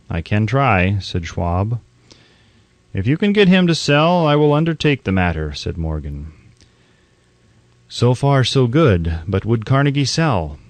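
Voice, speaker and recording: A man reading an audiobook in a measured, articulate storytelling delivery, close on the microphone. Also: mid-range voice, darkish tone, no echo. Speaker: audiobook narrator. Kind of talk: scripted audiobook narration.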